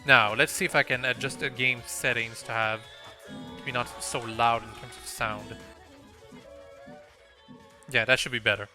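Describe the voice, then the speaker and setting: A man's voice: medium pitch, fairly even intonation, moderate pace, long pauses, pushed and bright. A man hosting a casual video game playthrough, thinking aloud in a hesitant, rambling way. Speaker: gaming video host. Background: video game music.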